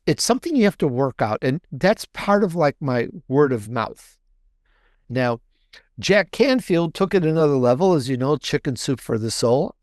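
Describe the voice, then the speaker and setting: A man's voice: medium pitch, darkish tone, no room sound, studio quality. A man talking casually as a podcast guest, chatty and rambling, often repeating and restarting his phrases. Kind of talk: casual, conversational interview answer.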